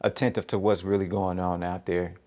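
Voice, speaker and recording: A man with a fairly low voice hosts a local television interview. He speaks informally, moving between questions and short affirmations. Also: little pitch movement, a little fast, a little mellow. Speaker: show host interviewer. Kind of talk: interview hosting on a local TV show.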